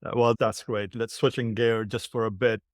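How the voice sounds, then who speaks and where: A man co-hosting a podcast, asking questions in a curious, steady way. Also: fairly low pitch, moderate pace, no echo, studio quality. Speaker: podcast co-host. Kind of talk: casual podcast interviewing.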